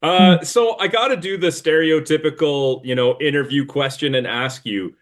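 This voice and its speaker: A man's voice: on the high side, moderate pace. A man hosting an interview, asking questions in a friendly, conversational way. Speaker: podcast interviewer, host.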